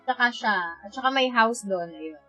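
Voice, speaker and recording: A woman with a Filipino accent, a native Tagalog speaker, chatting casually on a game livestream and thinking aloud with searching pauses. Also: on the high side, animated, pushed and bright, husky, lo-fi sound, soft background music. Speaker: live game streamer. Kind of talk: casual livestream chat while gaming.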